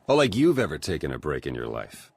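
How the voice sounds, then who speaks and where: An actor playing a reckless, lovesick male spy in a game cutscene, earnest and halting. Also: huge pitch swings, slight background noise, full character voice. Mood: apologetic, hopeful. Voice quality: low, husky voice. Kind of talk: video game cutscene acting.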